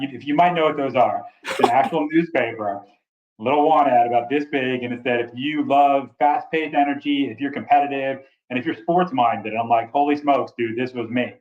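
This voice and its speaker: A man in his forties with a big voice, telling his story with energetic enthusiasm in a casual interview. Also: mid-range voice, lo-fi sound. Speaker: travel nurse recruiter.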